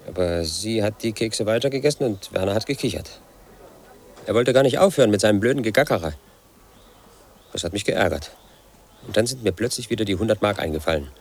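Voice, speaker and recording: An actor playing a brooding, paranoid man in his thirties, telling his own story as a flat inner monologue in a radio play. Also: sits low, a little hiss or hum. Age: 30s. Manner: flat, brooding, quietly obsessive.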